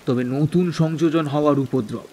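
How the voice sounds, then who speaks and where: A man's voice: on the high side, normal speed, darkish tone. A man narrating a scripted village ghost story in a dramatic storytelling style.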